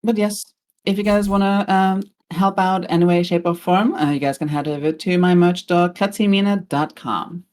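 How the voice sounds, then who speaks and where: A woman's voice: lowish voice, steady tone, moderate pace, a little mellow. A young woman from Norway, a streamer guesting on a podcast, chatting casually and laughing easily. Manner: chatty, laughs easily, plugs her store.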